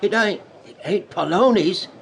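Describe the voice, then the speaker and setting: A man's voice: high voice, unhurried, creaky. An actor playing a kindly, humble old working-class father, musing aloud in folksy speech in a radio drama. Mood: eager, musing. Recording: music behind the voice.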